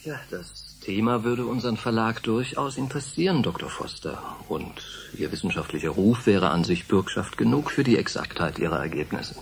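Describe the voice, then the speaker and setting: Animated, fussy male publisher. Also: lowish voice, moderate pace, creaky, few pauses, a little hiss or hum. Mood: smoothly evasive. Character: polite, fussy, evasive male science publisher. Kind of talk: German radio drama acting.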